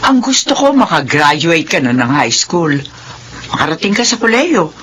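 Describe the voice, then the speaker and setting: An actress with a Filipino accent playing a devoted middle-aged mother in a radio drama, earnest and gentle in manner. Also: on the low side, sing-song, normal speed. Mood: concerned, gentle. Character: devoted, gently admonishing mother of teenage children. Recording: radio recording with faint background noise.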